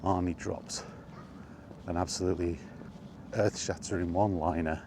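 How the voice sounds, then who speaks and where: Male vlog host, deep-pitched, conversational and casual, talking straight through with asides, faint ambient noise in the background. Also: steady tone, moderate pace. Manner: conversational, rhetorical questions and asides. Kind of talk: casual film chat monologue.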